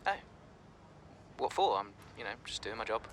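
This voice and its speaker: A modest young racing driver in a video game. His voice is low but bright, with hesitant, good-natured conversational delivery over faint background noise. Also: big pitch swings, normal speed. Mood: casual.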